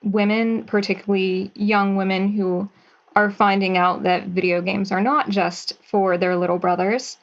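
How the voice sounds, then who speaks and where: A woman's voice: medium pitch, level tone, unhurried, highs cut off. A woman in her twenties, a writer and actress, talking casually and cheerfully as a guest in an interview. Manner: chatty, upbeat, with light laughter.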